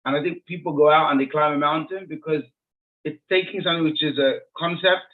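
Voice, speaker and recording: Male interview guest, rabbi from Israel, lightly high register, conversational storyteller who rephrases as he goes; narrow phone-like audio. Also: steady tone, a little fast. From Israel, born and raised in Jerusalem.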